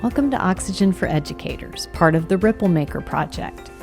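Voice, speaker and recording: A woman's voice: on the low side, animated, over music. Woman, from Guatemala, podcast host, encouraging, easy laugh. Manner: upbeat, encouraging, quick to laugh. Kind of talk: conversational podcast hosting.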